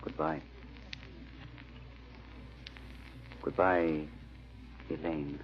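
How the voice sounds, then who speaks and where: A man's voice: very deep voice, flat delivery, takes it slowly. An actor playing a grim, resolute man confronting a killer in a 1940s-style radio drama. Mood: subdued. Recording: muffled, noisy old-time radio recording.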